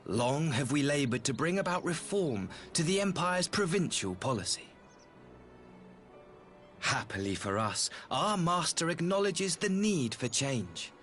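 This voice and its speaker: An actor playing a courteous, self-important imperial ambassador in a video game, speaking with formal, theatrical politeness. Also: male voice, a little high, sing-song, unhurried, a bit bright, faint music behind. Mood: earnest, stately. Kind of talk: scripted game dialogue.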